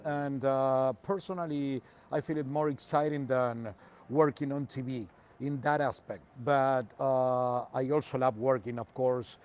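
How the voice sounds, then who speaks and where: A man from Argentina whose first language is Spanish, a soccer commentator speaking careful, halting English with many fillers and a Spanish accent as a guest on a remote call. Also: mid-range voice, darkish tone. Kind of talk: casual interview by remote call.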